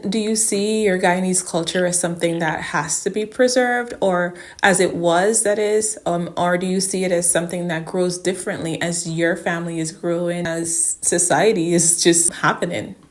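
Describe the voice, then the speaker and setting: A woman interviewing a guest, asking measured, probing questions. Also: on the low side, fairly even intonation.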